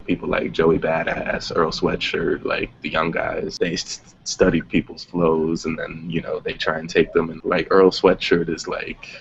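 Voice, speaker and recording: A man's voice: rumbling low, monotone, measured pace, vocal fry, few pauses. A man hosting a casual discussion in an easy conversational style. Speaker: host moderating a music discussion. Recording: dull audio with faint background noise.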